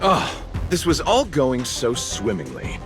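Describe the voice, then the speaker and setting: A man's voice: mid-range voice, wildly swooping pitch, slow, heavily acted. A man voicing a suave, mysterious merchant, polite and theatrical with an edge of threat, in a video game. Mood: wry, cautionary. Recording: light game music behind.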